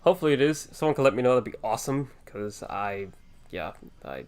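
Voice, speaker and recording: A man's voice: mid-range voice, animated, fairly quick, smooth. A man who is sick, talking casually and self-consciously into a desk microphone at home as he sets up a game stream, with restarts and fillers. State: sick, with a lowered voice. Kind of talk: casual live-stream chat. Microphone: desk USB microphone, with headphones on.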